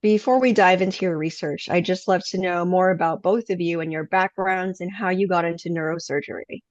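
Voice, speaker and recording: A woman hosting an interview, asking polite, measured questions. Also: fairly low pitch, steady tone, no room sound, muffled recording. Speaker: interviewer, awareness ambassador.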